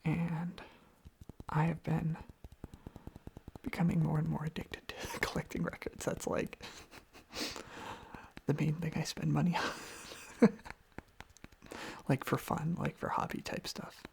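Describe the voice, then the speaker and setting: Someone rambling softly in a breathy, husky ASMR whisper close to the microphone, casually repeating little phrases. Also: medium pitch, little pitch movement, slow pace, long pauses, slight background noise. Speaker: ASMR video creator.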